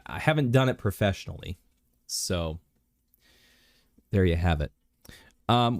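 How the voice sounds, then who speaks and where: A man streaming live and chatting casually while sick, clearing his throat, rambling with many fillers and restarts. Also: deep voice, darkish tone, dry recording, studio quality.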